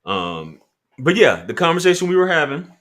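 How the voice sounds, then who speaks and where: A man with a deep voice hosting a casual livestream, reading chat comments and talking loosely with profanity and laughing asides. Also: sing-song, normal speed, a bit bright.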